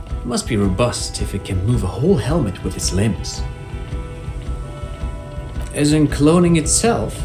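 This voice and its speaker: Game casting: scholarly, enthusiastic police officer, swooping delivery, explains everything with relish. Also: male voice, medium pitch, big pitch swings, a little slow, long pauses, music in the background. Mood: curious. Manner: lecturing, enthusiastic, precise. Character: erudite, eager male police officer who loves explaining trivia. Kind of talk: video game voice acting.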